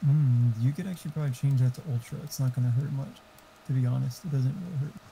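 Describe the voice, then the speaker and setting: A man with a very dark, mid-pitched voice talks casually as a fellow gamer. He is laid-back. The voice chat sounds muffled, with faint noise. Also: fast, vocal fry. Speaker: fellow player.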